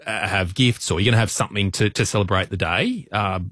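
A man's voice: lowish voice, a little fast, a bit bright, no room sound. A man filling in as radio co-host, joking in a stammering, mock-solemn way. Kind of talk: casual radio banter.

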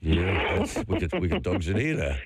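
A low-pitched man hosting a talkback radio show, his voice rising and falling with lively swings as he chats. He reads out listener messages and adds easygoing comments, recorded on a close, dry studio microphone. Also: unhurried, breathy edge, dry recording. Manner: chatty, reads out listener messages, friendly asides. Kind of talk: casual talkback radio chat.

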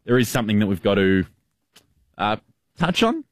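A man hosting radio, who speaks in a measured, drawn-out way as he reads station links and chimes in on the banter. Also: lowish voice, very animated, no room sound, studio quality. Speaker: radio host.

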